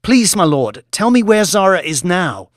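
An anxious, earnest young man, voiced by a male narrator, pleads in an audiobook drama. His voice is raised in pitch and moves up and down a lot, recorded dry. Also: big pitch swings, a little slow, fairly bright tone, dry recording, exaggerated voice. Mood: pleading.

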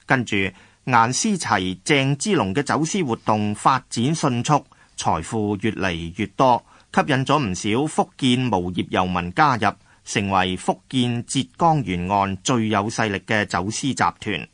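A man's voice: fairly low pitch, lively intonation, measured pace, a bit bright, no echo. A man with a Hong Kong Cantonese accent narrating a scripted history radio drama.

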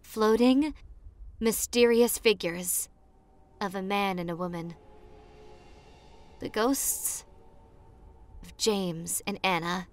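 Woman playing a slow-talking, dreamy college girl. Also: mid-range voice, soft background music, natural voice. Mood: dreamy, storytelling. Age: young adult. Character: popular college girl on a spooky romantic date, sweetly excitable. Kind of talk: ASMR roleplay storytelling.

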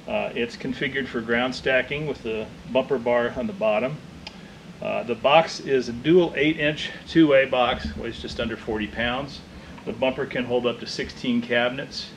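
A man's voice: mid-range voice, slight background noise, low-quality recording. A man gives an informal, matter-of-fact product demonstration as a distributor. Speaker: loudspeaker distributor presenting products. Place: indoor demo room with small stage.

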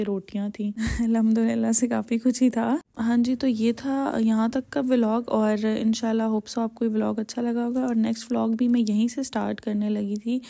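A woman's voice: a little high, vocal fry, warm tone. A young woman with a Pakistani Urdu accent narrating her travel vlog in a chatty, casual way, full of fillers. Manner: chatty, filler-heavy storytelling. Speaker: vlogger.

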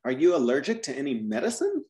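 Patient teacher, animated pitch, corrects gently and repeats phrases. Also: quick pace. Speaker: English teacher. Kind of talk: tutoring a student.

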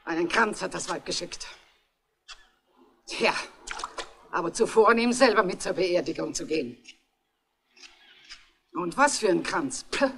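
An actor playing a sharp-tongued, resentful poor village mother, blunt and bossy, in a 1960s radio crime drama. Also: high voice, lively intonation, a little slow, creaky, pauses often, slightly rough, exaggerated voice. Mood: bitter, scornful.

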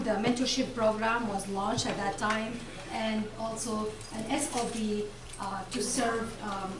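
Hesitant woman presenting in an echoey hall. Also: on the high side. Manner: hesitant, many fillers. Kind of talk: conference presentation. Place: large lecture room with audience. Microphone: far camera. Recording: echoey room, recorded from far, audience noise.

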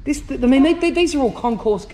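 High-voiced cheeky Australian man. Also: expressive, dark tone, slight background noise. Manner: cheeky, teasing, fast banter. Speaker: vlogger host. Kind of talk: casual joking chat. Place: large indoor garage and bike shop.

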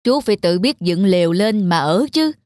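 A woman's voice: mid-range voice, expressive, moderate pace, darkish tone, dry recording, clean studio sound, close to an everyday voice. Bossy, selfish elder brother voiced by a woman. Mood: smug, insistent. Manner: pushy, self-justifying. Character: selfish, bossy older brother dividing an inheritance. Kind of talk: children's folk-tale cartoon dubbing. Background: background music.

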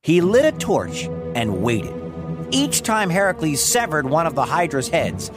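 A man tells a children's tale in a fairly high voice that swings widely up and down. He is playful and wry, leaning into dramatic emphasis, with music clearly playing behind him. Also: big pitch swings, unhurried. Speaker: podcast narrator and host. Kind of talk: animated storytelling narration of Greek myths for children.

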